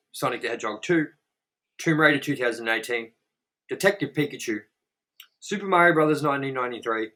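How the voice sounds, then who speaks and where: Bright-voiced, swearing host. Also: male voice, mid-range voice. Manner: jokey, loose and swears freely. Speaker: show host. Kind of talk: casual movie review chat.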